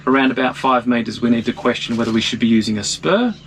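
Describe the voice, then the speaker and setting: A middle-aged man giving an informal, measured technical explanation of equipment, as a technician would. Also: medium pitch, a little hiss or hum. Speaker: marine electronics technician demonstrating equipment. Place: small wooden boat wheelhouse on the water.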